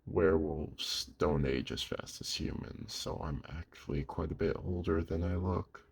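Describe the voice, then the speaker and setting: A man playing a gruff, lonely old werewolf in an intimate ASMR roleplay, weary but gentle, speaking slowly and hushed with a husky, gravelly voice. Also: very deep voice, heavily acted. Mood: wry, reserved. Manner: slow, hushed, with long pauses.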